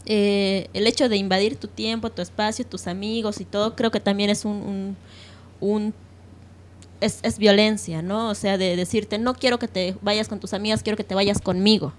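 A woman's voice: mid-range voice, fairly even intonation, normal speed. A woman giving her opinion informally in a discussion, emphatic and piling up examples. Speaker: panel participant.

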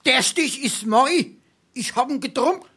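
A woman's voice: high voice, lively intonation, measured pace, a bit bright, slightly rough, full character voice. A woman playing a cheeky, boastful male tavern freeloader in a dialect comedy, grandiose and teasing, with a Darmstadt Hessian accent. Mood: smug. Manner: grandiose, teasing, mock-formal.

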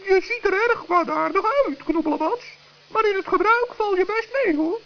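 A performer voicing a small, kindly, self-assured forest gnome in a children's radio play, chatty and gently bossy. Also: extremely high pitch, moderate pace. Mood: pleased, kindly. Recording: muffled old radio recording.

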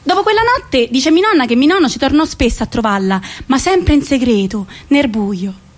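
A woman with a central Italian accent performing expressive, colloquial theatrical narration of a family story. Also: high-pitched, moderate pace. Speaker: actress.

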